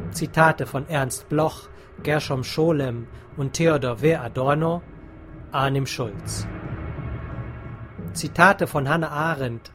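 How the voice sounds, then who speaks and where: Slow, measured male radio announcer. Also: medium pitch. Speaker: announcer reading closing credits. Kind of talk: scripted radio credits announcement. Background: music.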